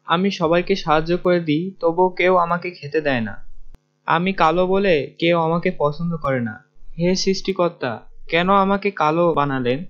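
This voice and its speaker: A sad orphan boy from an animated story, acted by a man. The voice sits somewhat high and pleads humbly and plaintively. The recording is muffled and lo-fi. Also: normal speed, lightly acted. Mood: sad, pleading. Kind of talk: animated story dialogue in Bengali. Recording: dull lo-fi audio.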